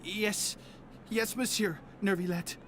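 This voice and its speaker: A woman's voice: mid-range voice, steady tone, slow, noisy background, close to an everyday voice. A woman voicing a nervous, submissive suspect in a video game, hesitant and apologetic. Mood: nervous, meek. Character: nervous, submissive suspect confessing under questioning.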